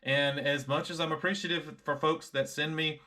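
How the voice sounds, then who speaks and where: A man's voice: on the high side, steady tone, normal speed, pushed and bright. American man giving a frank, casual review. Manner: conversational, frank, repeats himself. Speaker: product reviewer and vlogger. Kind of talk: casual product review to camera.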